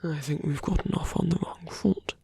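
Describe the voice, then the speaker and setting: A performer voicing a suave, menacing male villain in an intimate ASMR roleplay, slow and teasing, with a breathy, gravelly voice that often sinks to a near-whisper. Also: mid-range voice, steady tone, mellow. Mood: persuasive, condescending. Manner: slow, deliberate, teasing, condescending.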